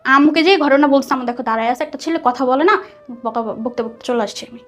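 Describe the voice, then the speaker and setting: A woman with a Bangladeshi accent telling a personal story conversationally, animated and dropping in English words. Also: high-pitched, fast talker. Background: soft background music.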